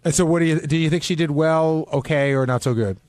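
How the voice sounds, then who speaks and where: A man's voice: fairly high pitch, fast talker, a little mellow, dry recording. A man in casual studio discussion as a radio interviewer, probing and challenging what the others say.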